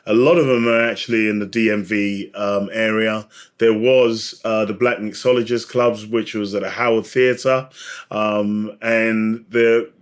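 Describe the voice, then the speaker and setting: A man's voice: lowish voice, fairly even intonation, unhurried, smooth. A man speaking as an interview guest, explaining and telling history in a conversational, searching way. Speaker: podcast guest, bartender and author.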